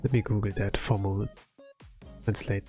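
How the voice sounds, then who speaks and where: A man from Europe, a non-native English speaker, chatting casually on a livestream in a hesitant, halting way with lots of fillers, sounding tired. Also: lowish voice, steady tone, darkish tone. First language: not English. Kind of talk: casual rambling livestream chat. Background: background music.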